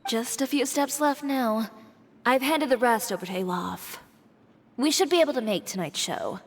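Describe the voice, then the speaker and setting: A woman's voice: on the high side, moderate pace. A woman playing a composed, shrewd young fashion designer in a video game, speaking in a cool, businesslike, self-assured way. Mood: determined.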